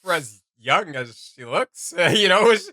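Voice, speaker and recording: A man hosting a reaction video, chatting casually in an excitable, gushing, slangy way. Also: high voice, a little slow.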